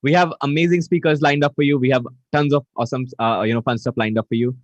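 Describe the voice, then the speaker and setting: A man's voice: medium pitch, fast talker, dry recording. A man hosting a live online event over a video call, greeting viewers and reading their chat messages aloud. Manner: energetic, repeats greetings, reads chat aloud. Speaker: event host. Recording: dull, dry video call audio.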